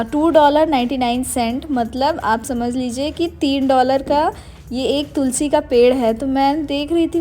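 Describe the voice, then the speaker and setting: Chatty woman vlogger with a mellow voice. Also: sits high, slight background noise. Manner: chatty, meandering, mixes English words into Hindi. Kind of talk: casual vlog voice-over narration.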